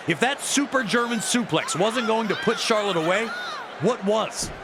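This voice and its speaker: A man narrating wrestling matches like a sports documentary, with emphatic, dramatic storytelling. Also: high voice. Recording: loud crowd roar and arena noise. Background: roaring arena crowd.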